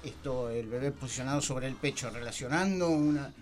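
An Argentine man hosting a radio talk show, speaking informally and haltingly as he searches for words in a discussion. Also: mid-range voice, a little hiss or hum. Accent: Argentine Spanish. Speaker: radio host. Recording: music under the voice. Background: music.

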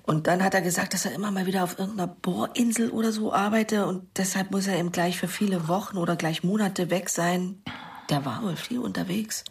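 An actress playing a middle-aged sister in a naturalistic radio crime drama, giving a plain, hesitant, colloquial account. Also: female voice, medium pitch, fairly quick, vocal fry, close to an everyday voice. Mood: slightly defensive. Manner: hesitant, matter-of-fact, colloquial.

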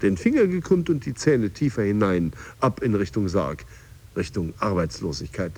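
An actor playing a stressed male office employee in coaching, with a husky, creaky voice, in a radio drama. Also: fairly low pitch, animated, normal speed, warm tone. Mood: confessional. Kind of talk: radio drama monologue and chanted affirmations.

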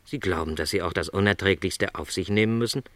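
An actor playing a middle-aged travelling salesman in a radio play, gentle, earnest and lonely, with courteous, careful, apologetic phrasing. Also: low-pitched, fast talker, no room sound, close to an everyday voice.

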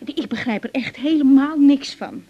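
A woman's voice: high voice, normal speed, warm tone, lo-fi sound. A woman acting in a radio drama as a hurt, exasperated young grown daughter, blunt and reproachful.

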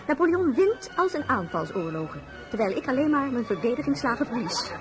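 An actress playing a flirtatious, frivolous princess in a radio drama, gushing, giggly and theatrical. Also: female voice, fairly high pitch, sing-song, fairly quick, music in the background. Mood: playful complaining.

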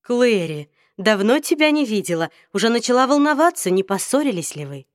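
A woman reading an audiobook, voicing a kind, sympathetic middle-aged mother who speaks warmly. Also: a little high, very animated, fairly quick, no echo, clean studio sound. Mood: caring. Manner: warm, caring.

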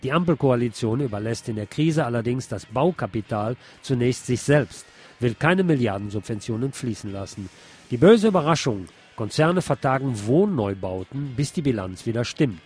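A man's voice: medium pitch, expressive, moderate pace, a little mellow, slightly rough, faint music behind. A man hosting a radio programme, reading a scripted political commentary. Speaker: radio host.

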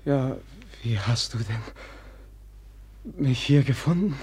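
An actor playing a gentle, naive young prince in a 1950s radio play, speaking hesitantly and earnestly. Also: male voice, medium pitch, expressive, takes it slowly, slightly rough, heavily acted. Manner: hesitant, soft-spoken, earnest. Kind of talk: 1950s radio drama acting.

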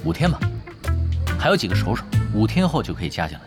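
A man acting in an audio drama as a laid-back, shrewd gamer, nonchalant and smoothly persuasive. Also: fairly low pitch, big pitch swings, fast. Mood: teasing, breezy. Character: easygoing, shrewd, laid-back male gamer recruiting helpers for his guild. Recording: studio drama with loud background music.

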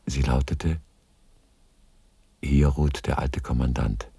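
A man narrating a literary radio drama in a gravelly, husky voice, with measured and solemn delivery. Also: rumbling low, fairly even intonation, warm tone, no echo.

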